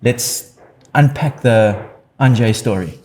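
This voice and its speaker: A man's voice: mid-range voice, takes it slowly, a little mellow. A man hosting an interview, speaking with effusive praise and enthusiasm. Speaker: interview host.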